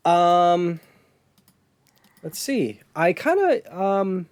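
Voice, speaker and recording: A man's voice: high voice, very animated, drawn-out words, darkish tone, no echo. Easygoing man, dry close sound. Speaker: podcast co-host. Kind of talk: casual chat about video games.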